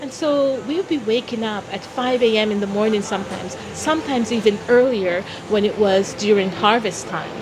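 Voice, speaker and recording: A woman from Jamaica telling personal stories as an interview guest, in a deliberate, expressive way. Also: mid-range voice, a crowd in the background, low-quality recording. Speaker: interview guest, founder of a philanthropy organization.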